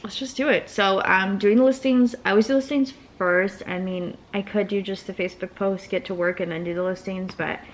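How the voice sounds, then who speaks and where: A young woman chatting casually at home in a vlog, rambling and thinking out loud about her day and small business work. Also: mid-range voice, fairly even intonation, brisk, few pauses, rough recording. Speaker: vlogger and small business owner.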